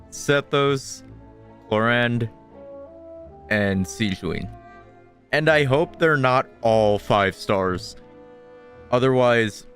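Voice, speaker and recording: Low-voiced, slow-talking young male streamer. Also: fairly bright tone. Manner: laid-back, profane. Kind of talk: casual gaming commentary with joking asides.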